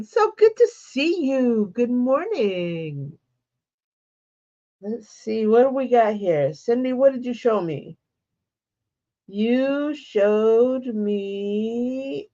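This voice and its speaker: A woman's voice: fairly high pitch, a little mellow, muffled recording. A woman hosting a casual embroidery livestream, a little congested from a cold, chatting and greeting viewers by name.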